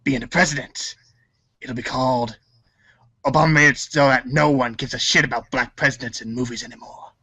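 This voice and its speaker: A man's voice: medium pitch, steady tone, a little fast, sharp tone, husky, dry recording, low-quality recording. A man acting in a comic reader's theater show, goofing around and stumbling through his lines with ad-libs. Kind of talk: comic table read with ad-libs.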